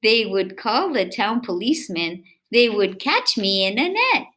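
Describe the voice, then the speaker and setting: A woman reads a children's picture book aloud in a slow, lively voice of medium pitch. She stretches out words for effect and talks directly to young listeners, often turning remarks into questions. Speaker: read-aloud teacher or reader for children. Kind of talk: expressive picture-book read-aloud with chatty asides to children.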